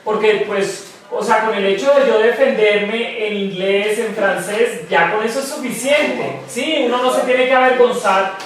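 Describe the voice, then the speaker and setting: A man's voice: high-pitched, unhurried, hardly any pauses, a bit bright. A man with a Colombian paisa accent teaching a French class, patiently asking students for their thoughts and encouraging them. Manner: patient, encouraging, asks questions. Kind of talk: classroom discussion. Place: school classroom. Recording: echoey classroom, distant mic.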